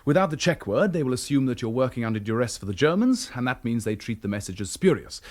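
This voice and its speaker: An actor playing a seasoned wartime resistance leader in a radio drama, firm and plain-spoken. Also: male voice, mid-range voice, big pitch swings, fast talker, darkish tone, lightly acted. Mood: firm, instructive.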